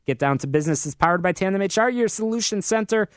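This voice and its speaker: A man's voice: high-pitched, animated, fast talker, no room sound. An American man hosting a live business talk radio show from a studio in an upbeat, promotional manner.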